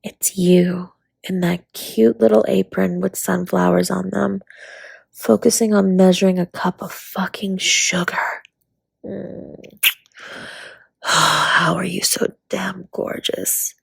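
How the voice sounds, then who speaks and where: A woman playing a flirty, affectionate dragon baker spouse in a romantic roleplay audio, with a breathy, husky, teasing delivery. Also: fairly low pitch, steady tone, slow pace, no room sound, heavily acted. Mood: flirty, intimate. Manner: teasing, intimate, often slipping into breathy whispers.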